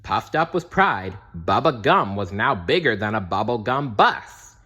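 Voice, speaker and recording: A man reading a children's storybook aloud as scripted narration, dramatic and expressive. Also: lowish voice, measured pace, slightly rough. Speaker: storybook reader.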